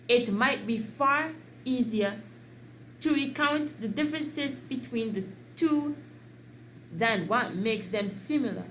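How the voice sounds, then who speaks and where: A woman reads aloud slowly and carefully, her voice sitting a little high. A faint hiss of noise runs behind her. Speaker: co-host reading aloud. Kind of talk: reading an article aloud.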